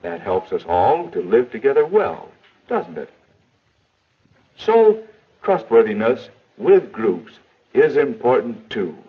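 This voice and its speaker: A patient father gently explains things to his son, his husky voice rising and falling in lively swings. The manner is reassuring and a little lecturing. The sound is dull and muffled, like an old film soundtrack. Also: mid-range voice, takes it slowly, heavily acted.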